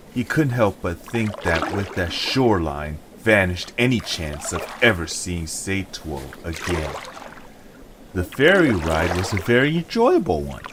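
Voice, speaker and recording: A man reading scripted story narration as the narrator of an audio drama. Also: sits low. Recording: noisy home recording.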